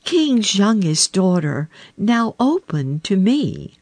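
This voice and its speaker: A woman voicing a small, persistent male frog in a storybook reading, calling out in a high, plaintive voice. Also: big pitch swings, slow pace, a little mellow, no echo, heavily acted. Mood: insistent.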